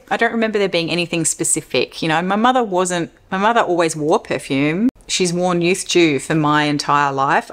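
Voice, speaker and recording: A woman with an Australian accent and a low, deep speaking voice chats at length to camera. She rambles in a relaxed way, often restarting her sentences mid-thought. She sounds like a middle-aged vlogger talking from home. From Western Australia. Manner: rambling, chatty, self-interrupting with restarts. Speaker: perfume YouTuber. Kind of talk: casual Q&A vlog talk.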